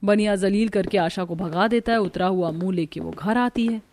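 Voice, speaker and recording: A woman's voice: mid-range voice, moderate pace, darkish tone, soft background music. A woman narrator reading a scripted story.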